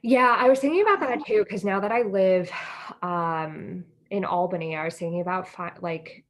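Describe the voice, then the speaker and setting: A woman's voice: fairly low pitch, moderate pace. A young American woman co-hosting a podcast in casual chat, informal and self-deprecating. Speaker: podcast co-host.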